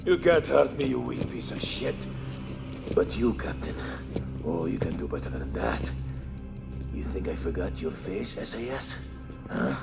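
A gravelly, sneering captive terrorist from a war game, taunting his captors with profanity. His pitch leaps wildly, and loud music plays behind him. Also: very animated, a little slow, long pauses, full character voice. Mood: taunting, defiant. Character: defiant captured male terrorist, sneering and taunting.

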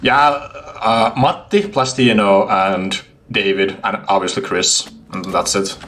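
A man's voice: on the low side, unhurried, a bit bright, slight background noise. A young man livestreaming a game, chatting casually with his viewers as he plays. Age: young adult. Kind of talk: casual gaming stream commentary. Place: indoor room, streaming setup.

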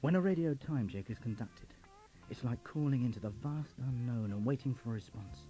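A man's voice: mid-range voice, animated, a little fast, warm tone, soft background music, poor audio. A man reading a scripted narration for an instructional tape.

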